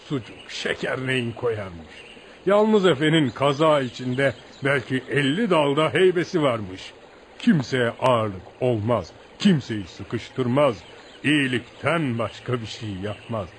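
A male actor plays a rustic village man in a radio theatre piece, with a mid-pitched voice. He tells legends of outlaws with admiration and lively folk-storyteller flair, always deferential to his listener. The recording carries a faint noise. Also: close to an everyday voice. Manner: animated folk storyteller, addresses listener as sir. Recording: noisy radio drama recording.

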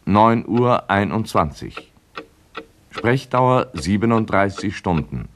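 An official timekeeper in a 1970 radio play. His low male voice moves slowly through clipped, factual announcements, with wide swings in pitch. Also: animated. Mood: sober, official. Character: official timekeeper soberly announcing the record attempt.

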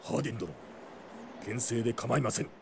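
An actor playing a stern, devoted older knight commander in a fantasy audio drama, speaking in formal, archaic phrases. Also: male voice, medium pitch, fast talker, vocal fry, darkish tone, slight background noise, natural voice. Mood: grave, resolute.

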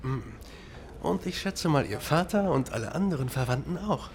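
An actor playing a wry undercover officer posing as a hotel receptionist in a crime radio drama, polite and matter-of-fact. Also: male voice, fairly high pitch, animated, moderate pace. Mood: wry, reassuring. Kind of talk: naturalistic German crime radio drama acting. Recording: clear background noise.

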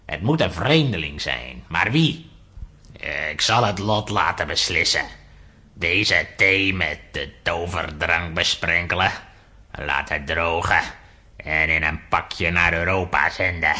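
A man playing a sinister, scheming sorcerer villain in a children's audio drama, with a deliberate, menacing delivery. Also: low voice, bright, forceful tone, heavily acted.

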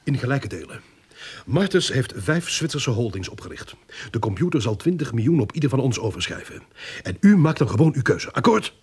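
An actor playing a cocky, scheming corrupt policeman in a radio drama, with a husky voice. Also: medium pitch, very animated, fairly quick. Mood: calculating.

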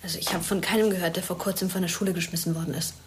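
A woman's voice: lowish voice, fairly even intonation, very fast, a little hiss or hum, natural voice. A young woman playing a brooding, scornful teenage schoolgirl with pointed, colloquial delivery in a German radio drama. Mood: doubting.

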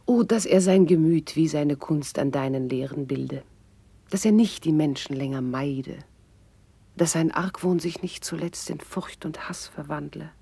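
Devoted noblewoman, mellow husky voice, poised poetic declamation, dry studio, classic radio play. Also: sits low, measured pace, no echo, exaggerated voice. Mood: earnest, hopeful. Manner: poised, elevated, poetic delivery. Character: eloquent, devoted noblewoman, the princess's learned confidante.

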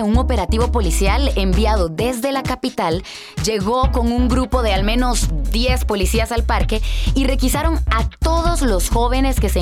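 An actress with a Costa Rican accent playing an indignant local radio newscaster delivering a bulletin. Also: female voice, a little high, close to an everyday voice. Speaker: radio announcer. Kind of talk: radio news bulletin acted in drama.

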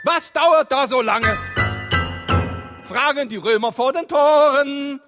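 A man giving half-sung theatrical narration in an audio drama, drawn-out, repetitive and exclamatory. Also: very high voice, over music.

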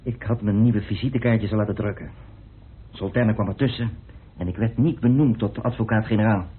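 A man's voice: on the low side, brisk, a little hiss or hum, lightly acted. An actor, arguing his case in a dry, assertive way. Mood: dry, pointed. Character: self-assured man coolly arguing his theory of a murder. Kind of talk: radio play acting. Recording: muffled phone-like old radio recording.

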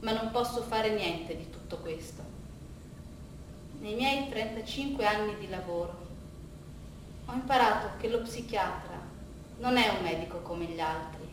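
A woman playing a weary, disillusioned older psychiatrist in a theatrical monologue, speaking in a measured, deliberate way with rhetorical repetition. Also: medium pitch, natural voice. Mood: weary, reflective. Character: veteran psychiatrist of thirty-five years, weary, disillusioned, reflective. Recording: roomy, distant stage recording, faint noise.

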